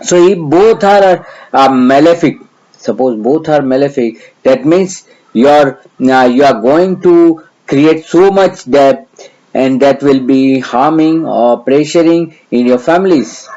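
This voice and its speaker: A man's voice: medium pitch, slow, mellow, dull audio. A middle-aged man from India with a Gujarati accent, teaching astrology in a hesitant, earnest way with many fillers. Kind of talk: lecture.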